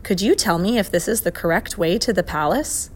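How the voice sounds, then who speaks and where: Woman reading as a brisk storybook professor, courteous, decisive, slightly raised voice. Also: lowish voice, slightly rough. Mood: polite. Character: eager, courteous male professor.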